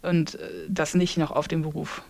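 Eine Frau aus Norddeutschland um die dreißig, eine Bibliothekarin, die locker und mit vielen Füllwörtern im Podcast erzählt. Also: female voice, low-pitched, little pitch movement, moderate pace, vocal fry, a little mellow. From northern Germany. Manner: hesitant, many fillers. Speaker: librarian. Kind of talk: casual podcast chat.

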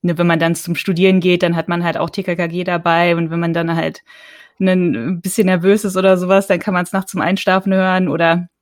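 A woman's voice: lowish voice, flat delivery, brisk, no room sound, studio quality. A woman co-hosting a podcast, talking enthusiastically and gigglingly as a longtime fan. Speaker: podcast co-host. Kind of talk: casual interview chat.

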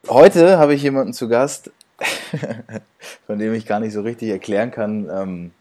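A man's voice: fairly low pitch, measured pace, darkish tone. A man hosting a podcast, chatting casually, laughing often and restarting his sentences. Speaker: podcast host. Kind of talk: casual interview chat.

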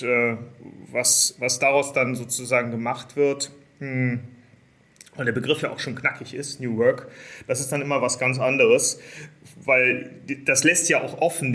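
A man's voice: mid-range voice, a bit bright. A man of about fifty speaking conversationally as an interview guest, an executive answering questions.

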